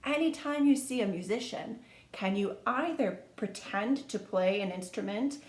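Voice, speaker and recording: A woman reading a children's storybook aloud in an expressive, sing-song storytime manner, speaking to young listeners like a teacher. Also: medium pitch, moderate pace. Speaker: music teacher reading a picture book to children. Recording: echoey room.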